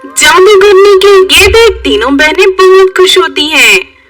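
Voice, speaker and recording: A woman narrating children's moral tales in an expressive storytelling style. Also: very high voice, a little slow, distant mic, over loud music.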